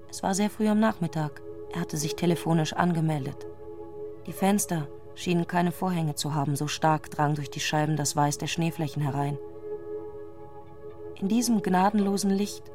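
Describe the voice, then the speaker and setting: A woman narrating a literary radio drama. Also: on the low side.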